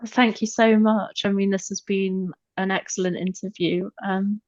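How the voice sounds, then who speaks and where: A woman's voice: medium pitch, level tone, moderate pace, a little mellow, no room sound, highs cut off. A woman hosting a podcast, speaking politely as she interviews and signs off. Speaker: podcast host.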